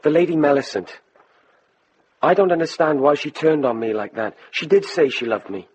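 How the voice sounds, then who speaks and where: A man's voice: a little high, moderate pace, a little hiss or hum, natural voice, poor audio. An actor playing a proud, earnest young man accused of a crime, impassioned and aggrieved, in a radio drama. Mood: hurt, confiding. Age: young adult.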